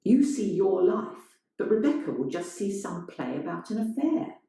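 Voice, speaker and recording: A woman's voice: mid-range voice, very animated, moderate pace, gravelly, mellow, recorded from a distance, pitched above the performer's own voice. A woman voicing a defiant woman theatre producer in a dramatised short story, pressing and challenging. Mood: persuading. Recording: strongly echoing, roomy sound.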